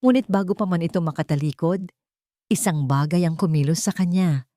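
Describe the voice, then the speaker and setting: A woman's voice: lowish voice, brisk, mellow, dry recording, clean studio sound. A woman storyteller narrating a scripted romantic story.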